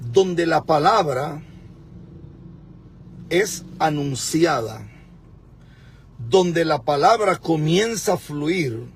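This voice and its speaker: Fifties male preacher, gravelly, emphatic, streaming live from a car with some road noise. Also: fairly high pitch. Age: 50s. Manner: emphatic, repeats words for stress. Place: in a car at a pay point.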